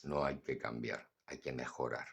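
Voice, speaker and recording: A man's voice: very deep voice, little pitch movement, normal speed, creaky, fairly bright tone, slightly rough. An older man, talking bluntly and earnestly about acceptance in a casual philosophical monologue. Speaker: podcast host.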